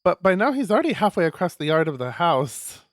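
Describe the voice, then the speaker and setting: A man streaming a visual novel, reading it aloud as expressive narration with casual asides. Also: sits high, brisk, no room sound, studio quality.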